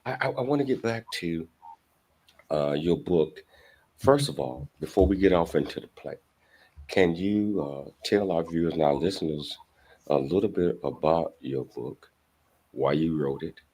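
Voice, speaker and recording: Very deep-voiced male talk show host. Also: expressive, a little slow, a little mellow, husky. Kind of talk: casual conversational talk show hosting.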